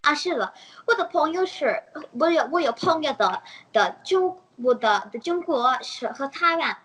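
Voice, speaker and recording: A woman's voice: high-pitched, expressive, measured pace, fairly bright tone, low-quality recording. Giggly American teen girl, searching for words. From United States. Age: teens. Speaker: interviewee. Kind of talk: casual multilingual chat.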